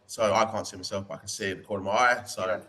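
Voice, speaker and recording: A man's voice: lowish voice, steady tone, rapid-fire, sharp tone. A man hosting a casual interview, encouraging his guest with frequent short affirmations and chatty asides. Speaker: podcast interviewer and host. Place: indoors in a pub.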